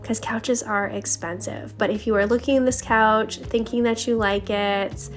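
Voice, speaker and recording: Woman, fairly high voice, slightly scratchy, casual chatty vlog narration, music in the background. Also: fairly even intonation, normal speed. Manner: chatty, confiding, addresses viewers directly. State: slightly scratchy voice, needs to clear throat. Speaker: home cleaning vlogger.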